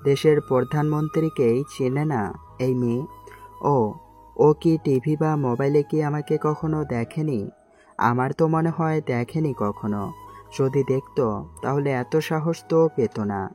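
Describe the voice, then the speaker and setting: An earnest young prime minister in disguise, as a woman voices him for an amateur audio story. The voice sits extremely low with a dark, mellow tone, soft and tender in delivery. Faint music plays underneath. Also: normal speed. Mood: puzzled. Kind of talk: amateur audio drama acting. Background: faint background music.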